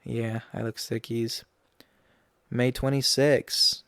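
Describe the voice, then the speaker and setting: A young man hosts his own podcast and talks through past episodes in a loose, chatty way, full of ums and you-knows. His voice is smooth and clear. The sound is dry and close, with no room echo. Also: medium pitch, little pitch movement, takes it slowly, a bit bright. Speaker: podcast host. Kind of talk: casual reflective chat.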